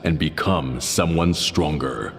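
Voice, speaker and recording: A man's voice: rumbling low, slow, creaky, fairly bright tone, faint music behind, heavily acted. A man playing a grave, commanding, ominous mentor in a video game, speaking solemnly in a cavernous reverb.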